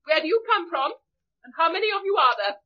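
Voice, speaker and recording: A shrill, suspicious young sentry girl. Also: lively intonation, fast, lo-fi sound. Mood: tense, challenging. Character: nervous young female sentry, sharp and suspicious. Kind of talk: radio drama acting.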